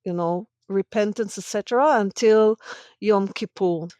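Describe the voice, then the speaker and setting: A woman's voice: medium pitch, unhurried, a little mellow, dry recording. A middle-aged woman from Israel, a native Hebrew speaker, speaking as an academic guest in a casual podcast interview, thoughtful and often laughing between points. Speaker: podcast guest, senior lecturer in religious studies.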